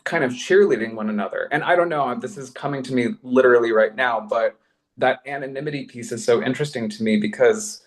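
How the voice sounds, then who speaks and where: A man's voice: medium pitch, fairly quick. A man speaking conversationally in a panel discussion as a podcast producer, effusive and emphatic, thinking aloud in casual slang.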